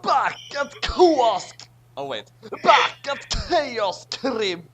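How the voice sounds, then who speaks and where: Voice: medium pitch, sing-song, very slow, a bit bright, soft background music. A reader doing a comedic dramatic reading of badly written fan fiction, stumbling and repeating phrases over the garbled text.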